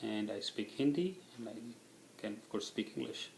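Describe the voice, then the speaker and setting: Gravelly middle-aged Indian man. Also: lowish voice, lively intonation, normal speed, echoey room, a little hiss or hum, low-quality recording. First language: Tamil. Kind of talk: casual reflective interview answers.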